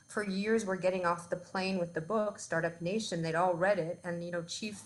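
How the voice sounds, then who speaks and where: A woman's voice: fairly low pitch, rough recording. A woman giving a talk as a guest on a video call, chatty and filled with ums and likes. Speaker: guest speaker.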